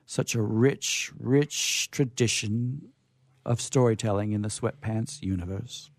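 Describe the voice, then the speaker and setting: A man's voice: fairly low pitch, a little mellow, no room sound. A man hosting a radio show, reading short comic stories aloud and chatting in a playful, theatrical way from a studio.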